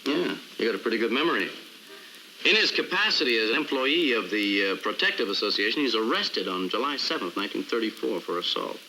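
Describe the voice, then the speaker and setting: American city prosecutor, crime drama, very sharp bright tone, animated delivery, sarcastic, indignant, driven, wordy. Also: male voice, on the low side, fairly quick, a little hiss or hum, poor audio. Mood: sardonic. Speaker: actor playing a prosecutor.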